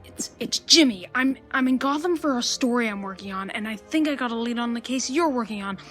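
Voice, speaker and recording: A frantic young newsman acted by a woman in a superhero radio play. The voice is fairly high, urgent and stammering, with faint music behind it. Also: animated, brisk, close to an everyday voice.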